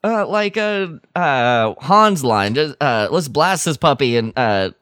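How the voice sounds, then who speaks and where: A man's voice: a little high, animated, slow, fairly bright tone, dry recording, studio quality. A man co-hosting a podcast in casual chat, speaking emphatically and with enthusiasm. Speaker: podcast co-host.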